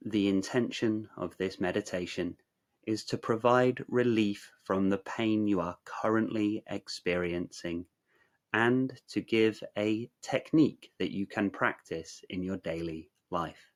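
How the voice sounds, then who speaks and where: A man narrating a guided meditation in a measured, soothing, instructional way, leaving long pauses. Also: on the low side.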